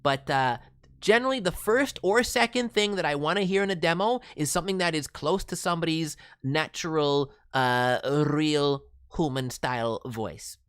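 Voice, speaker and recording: A performer voicing a cheeky, excitable non-human cartoon mascot who hosts a casual stream with boastful, playful word-mangling. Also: medium pitch, normal speed, smooth, dry recording, clean studio sound, close to an everyday voice. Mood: chatty, musing. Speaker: host reviewing voice demo reels. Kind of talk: casual live stream commentary.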